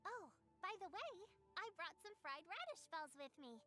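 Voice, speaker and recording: A woman's voice: extremely high pitch, very animated, normal speed, bright, forceful tone, full character voice. A woman voicing a polite, nurturing little girl with childlike eagerness, a character in a video game. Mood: polite, caring. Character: cheerful caring little girl, young adepti disciple.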